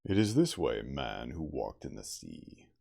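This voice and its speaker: Guy doing a wary hairy beast man from a storybook, gravelly n husky, halting solemn talk. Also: very deep voice, big pitch swings, a little mellow, full character voice. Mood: wary, questioning.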